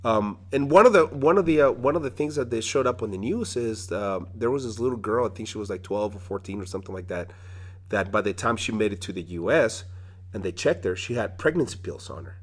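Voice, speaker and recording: A man's voice: on the low side, expressive, brisk. A man from Mexico hosting a podcast interview, talking in a loose, rambling way with lots of restarts. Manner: rambling, many restarts and fillers. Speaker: podcast host. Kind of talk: casual conversational interview.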